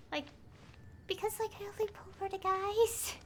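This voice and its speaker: A woman's voice: very high-pitched, noisy background. Giggly young woman streaming a game. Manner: giggly, chatty, many fillers. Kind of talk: casual gameplay commentary. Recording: stream mic over loud game music.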